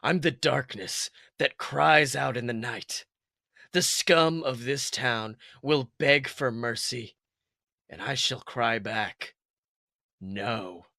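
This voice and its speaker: Man acting out a cocky young cartoon fox, melodramatic, bluffing masked-hero swagger, bright tone, dry sound. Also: medium pitch, takes it slowly, creaky, no room sound, voice pushed down, full character voice. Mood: brooding, grandiose.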